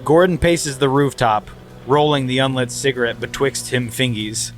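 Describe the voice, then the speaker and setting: Brief: male co-host narrates stage directions, mid-pitched, half-laughing through it. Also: steady tone, normal speed, faint music behind. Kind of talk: comedic script narration.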